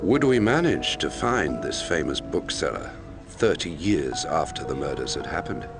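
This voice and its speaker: Casting: male investigative reporter recounting a case in a video game, low register, measured, hesitant delivery, music bed behind. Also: very animated, heavily acted. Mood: measured, reflective. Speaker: narrator, investigative journalist character. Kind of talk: documentary-style first-person game narration.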